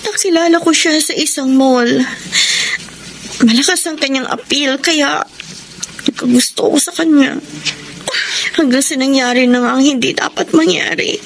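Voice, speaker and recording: A distraught young woman in a radio soap opera. Her voice is high and pleading, full of guilt and anguish, on a slightly noisy recording. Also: normal speed, long pauses. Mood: guilty, confessing. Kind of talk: melodramatic Tagalog radio drama acting.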